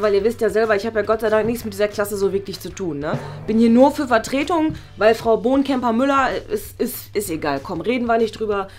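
Eine Frau spielt eine genervte, abfällige Vertretungslehrerin in einem Schulsketch und redet fahrig in abgebrochenen Sätzen. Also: female voice, medium pitch, close to an everyday voice. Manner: rambling, breaks off sentences, exasperated. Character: irritable, dismissive female substitute school teacher, easily exasperated. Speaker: substitute teacher in a sketch series. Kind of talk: improvised school sketch acting.

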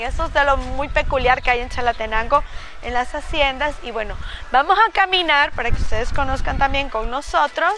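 A woman's voice: sits high, pushed and bright. A woman in her thirties with a Salvadoran Spanish accent, hosting a casual travel vlog outdoors, chatty and enthusiastic as she talks straight to her viewers. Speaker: travel vlogger. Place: outdoors at a windy hilltop lookout restaurant. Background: wind and outdoor ambience.

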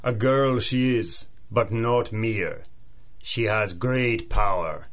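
An actor playing a big, solemn, honour-bound henchman in a radio drama, formal and measured. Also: lowish voice. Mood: grave. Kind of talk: pulp radio drama acting.

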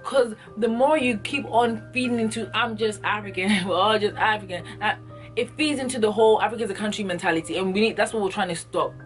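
A woman's voice: medium pitch, fast. Young woman vlogging to camera, earnest and emphatic, repeats stuff for stress, faint music behind. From Ghana. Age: young adult. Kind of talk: passionate direct-to-camera monologue. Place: small indoor room, plain wall. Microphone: camera in front at close range.

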